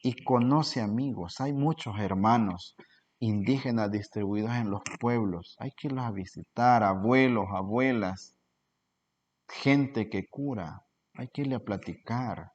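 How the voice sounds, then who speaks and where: A man with a fairly low voice gives an earnest spoken lecture and keeps asking rhetorical questions. The recording sounds dull and lo-fi. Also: unhurried, darkish tone. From El Salvador. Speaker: cultural promoter.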